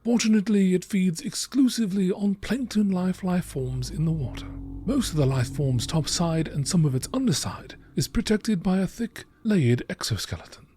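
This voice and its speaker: High-pitched male documentary narrator, lively intonation. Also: normal speed, husky. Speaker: narrator reading game text aloud. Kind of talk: scripted narration, nature documentary style.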